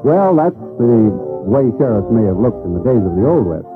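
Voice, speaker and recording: Male 1940s-style radio announcer, scripted promo, very lively intonation, narrow lo-fi sound. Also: mid-range voice, moderate pace, over music. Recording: thin, phone-like old radio broadcast.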